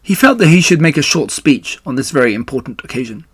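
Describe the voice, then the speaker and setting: A man reading a children's book aloud as storyteller, with measured, expressive phrasing. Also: on the high side, breathy edge, no echo, studio quality. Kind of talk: scripted children's book narration.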